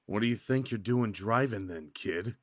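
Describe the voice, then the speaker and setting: Man acting dry, matter-of-fact highway patrolman, close sound. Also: normal speed, no room sound, close to an everyday voice. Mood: official, matter-of-fact. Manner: official, procedural, matter-of-fact. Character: skeptical, by-the-book male highway patrol officer. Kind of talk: audio drama acting.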